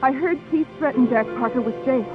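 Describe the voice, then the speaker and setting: A woman's voice: sits high, a little fast, close to an everyday voice. An actress playing a defensive, indignant woman suspected of murder in a 1950s radio drama, speaking insistently. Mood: earnest. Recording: tinny old radio recording with music.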